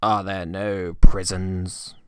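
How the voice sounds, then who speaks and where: A man voicing a gruff, miserly old businessman with a curt, scornful, growling delivery in a storybook reading. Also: low-pitched, little pitch movement, takes it slowly, dry recording, studio quality. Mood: cold sarcasm. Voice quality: growling, muttering. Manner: curt, cold, dismissive.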